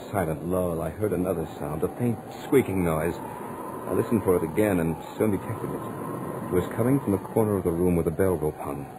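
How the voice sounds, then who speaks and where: An actor playing an anxious student who narrates his mounting dread in a dramatic, deliberate 1940s radio horror style. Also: low-pitched, noisy background, natural voice. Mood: mounting terror. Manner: dramatic, deliberate, suspenseful delivery. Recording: old 1940s radio recording, music behind. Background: dramatic musical score.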